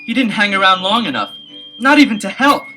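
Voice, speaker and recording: A man's voice: high voice, lively intonation, bright, forceful tone. An actor playing an earnest teenage boy detective in a 1970s audio adventure, delivering lines with urgency and care. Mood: suspicious. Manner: urgent, deliberate theatrical delivery. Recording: 1970s LP drama with music underneath.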